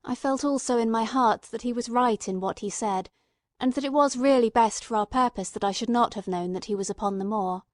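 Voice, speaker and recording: A woman's voice: fairly high pitch, fairly quick, no room sound, studio quality. A woman reading scripted literary narration as an audiobook narrator.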